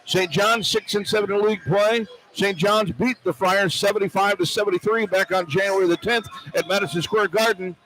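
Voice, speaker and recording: A man calling a live basketball broadcast as the play-by-play announcer, with polished, measured delivery. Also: high voice, fairly even intonation, fairly bright tone, rough recording. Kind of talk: live sports broadcast commentary. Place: sports arena broadcast position.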